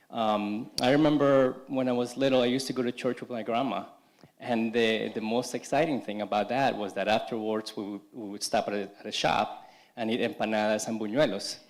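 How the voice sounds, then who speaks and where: A young man from Colombia giving a personal testimony at a church service, speaking in a halting way with frequent restarts and "you know". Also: on the low side. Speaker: congregation member giving testimony.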